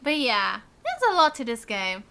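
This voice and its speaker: A woman's voice: on the high side, huge pitch swings, normal speed, sharp tone, slight background noise. A giggly, chatty woman giving casual live commentary while streaming a video game. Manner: giggly, chatty, reacts to chat.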